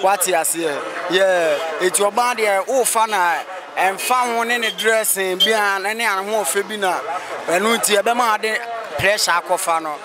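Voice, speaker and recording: A man's voice: high-pitched, moderate pace. A man with a Ghanaian accent giving his opinions casually in a street interview. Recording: street recording with crowd and traffic.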